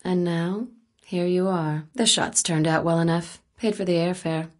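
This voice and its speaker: Cool wry game heroine, woman photographer with a sniper rifle, slightly low voice, dry self-possessed delivery. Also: steady tone, measured pace. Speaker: video game character. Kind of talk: video game voice acting, naturalistic dialogue.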